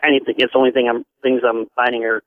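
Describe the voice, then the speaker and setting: A man's voice: mid-range voice, flat delivery, rapid-fire, dry recording. A man calling in to a radio show, chatting casually and hesitantly, often repeating his words. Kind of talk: casual phone conversation. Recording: tinny phone line over radio.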